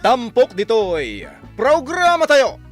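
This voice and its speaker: A man's voice: very high voice, huge pitch swings, slow, soft background music. A man with an Ilocano accent announcing a radio drama in a dramatic, scripted style.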